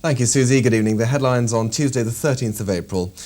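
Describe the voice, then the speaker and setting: A man with a British accent reading a scripted local television news bulletin in a formal, measured manner. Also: medium pitch, over loud music.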